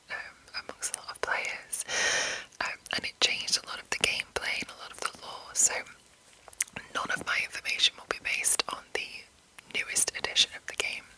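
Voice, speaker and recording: Whispering woman ASMR host, crisp and breathy. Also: sharp tone, poor audio. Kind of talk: whispered ASMR, rambly informal explainer.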